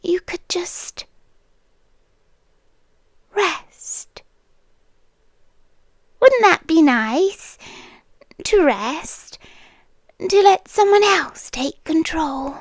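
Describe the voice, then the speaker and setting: A woman playing a possessive, devoted yandere girlfriend with a British accent, speaking softly and deliberately in an intimate ASMR roleplay, often slipping into whispers. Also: very high voice, expressive, no echo, full character voice. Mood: tender, devoted. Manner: slow, deliberate, lingering pauses. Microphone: close microphone, dry sound.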